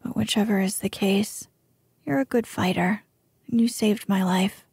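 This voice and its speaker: A woman's voice: medium pitch, fairly even intonation, moderate pace, creaky, husky, no echo. A woman whispering as a grateful castle lord. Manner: warm, grateful. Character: grateful middle-aged male castle lord.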